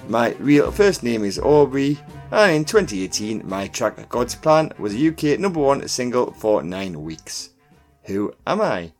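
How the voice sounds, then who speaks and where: A male quiz host reads questions and clues in a deliberate, announcing style. His mid-pitched voice moves up and down with plenty of animation. Light music plays underneath him. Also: sing-song, darkish tone. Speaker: pub quiz podcast host. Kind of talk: scripted quiz hosting, reading questions and clues. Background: background music.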